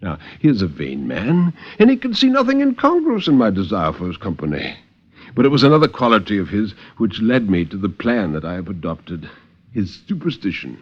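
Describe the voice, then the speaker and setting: A low-voiced British gentleman played by a man, suave and calculating, speaks with deliberate old-world courtesy. His delivery is slightly husky, in a 1950s radio horror manner, on a dull, muffled old recording. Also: very animated. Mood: scheming, confident. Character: suave, calculating English gentleman host, feigning concern.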